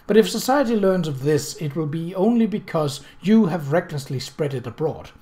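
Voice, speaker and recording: A man's voice: a little high, lively intonation, normal speed, mellow, voice pushed up, lightly acted. A man voicing an icy, commanding woman. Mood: quiet, controlled. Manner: bleak, icy, emphatic. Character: Sabrina, cold commanding woman, icily controlled fury.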